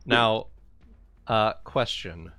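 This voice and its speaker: A man chatting casually and excitably as a player in a tabletop game, informal and prone to swearing. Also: lowish voice, drawn-out words. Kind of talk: casual gaming chat.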